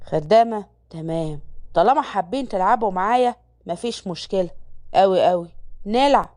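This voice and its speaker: A woman's voice: medium pitch, lively intonation, fairly quick, a little mellow, close to an everyday voice. A woman voicing a stern, short-tempered young male businessman with an Egyptian accent, speaking curtly and coldly. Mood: irritated. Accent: Egyptian Arabic. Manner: curt, menacing, clipped.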